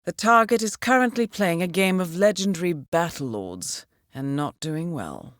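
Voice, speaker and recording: An actress playing a poised, dryly amused intelligence handler who briefs an assassin in a video game, measured and formal. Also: female voice, fairly low pitch, lively intonation, dry recording, studio quality, lightly acted. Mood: composed briefing. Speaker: mission handler.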